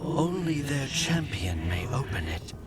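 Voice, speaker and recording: Casting: an ancient-sounding spirit caretaker for a fantasy game, solemn, breathy, unhurried and halting, under heavy music. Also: male voice, mid-range voice, sing-song. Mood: solemn, cryptic. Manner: cryptic, formal, breathy halting phrases. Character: otherworldly caretaker spirit of the crossroads, solemn and cryptic. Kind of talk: video game voice acting. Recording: loud music behind the voice.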